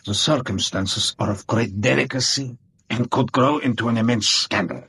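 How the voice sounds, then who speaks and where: A man's voice: medium pitch, moderate pace, fairly bright tone, husky, poor audio. An actor playing a proud Bohemian king in a radio drama, with a pompous, formal manner. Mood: grave, formal.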